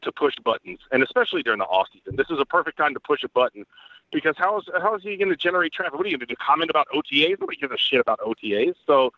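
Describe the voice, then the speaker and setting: A man's voice: a little high, very animated, very fast, dry recording. A man in casual sports banter as a guest journalist, crude and joking with a mock-analytical manner. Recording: muffled phone-like line, dry.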